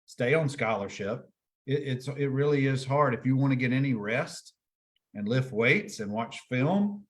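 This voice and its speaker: Male host, former college player, conversational, long winding questions, mid pitch. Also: expressive, unhurried. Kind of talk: interview hosting.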